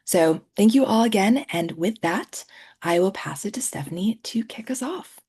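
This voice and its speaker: Woman host, webinar over a video call; reads a prepared welcome, breathy, lively swings in pitch. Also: normal speed. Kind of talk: reading an introduction.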